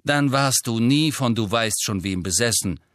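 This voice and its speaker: A man's voice: mid-range voice, expressive, normal speed, no echo, clean studio sound, close to an everyday voice. A male narrator voicing a blunt, cool, matter-of-fact younger teenage girl in an audiobook.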